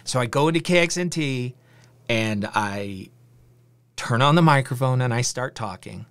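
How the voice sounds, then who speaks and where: A middle-aged man with a rather high voice hosting a podcast, telling his story in a casual, animated way with filler words. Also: normal speed, smooth. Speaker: podcast host. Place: home studio with virtual backdrop.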